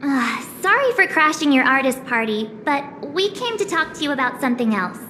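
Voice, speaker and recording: A perky, candid teenage girl in a video game, extremely high in pitch and very animated. She talks informally and blurts things out, with long reverb on her voice. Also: moderate pace, a little hiss or hum, heavily acted. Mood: awkward, direct.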